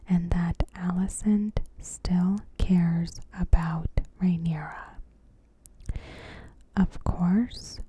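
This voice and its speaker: A woman whispers very softly and breathily, as an ASMR host reading a prepared recap. She takes her time, extremely slowly, with deliberate, gentle phrasing. Her tone is very soft-edged and mellow. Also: on the low side, breathy edge, poor audio.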